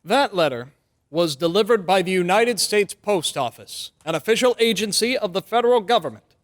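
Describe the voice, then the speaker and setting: A man's voice: high-pitched, lively intonation, moderate pace. A man playing a confident, persuasive defense lawyer in an old-time radio drama, speaking in an emphatic, oratorical way. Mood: confident, formal.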